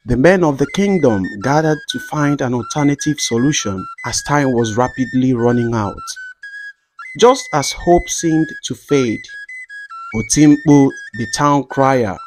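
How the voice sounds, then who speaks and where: A man's voice: mid-range voice, rough recording. Male folktale narrator over music. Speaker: storyteller narrator. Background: background music.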